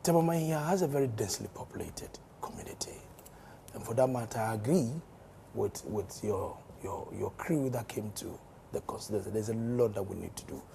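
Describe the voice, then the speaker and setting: A hoarse man with a Ghanaian accent, a member of parliament speaking in a studio interview, measured and given to repeating words as he explains at length. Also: lowish voice, dark tone, slight background noise. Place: television studio.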